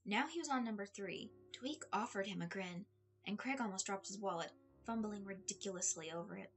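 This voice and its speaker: A woman reading a story aloud in an expressive audiobook style, with a slightly husky voice. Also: medium pitch, fairly quick. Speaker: fan fiction reader. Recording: music under the voice. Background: background music.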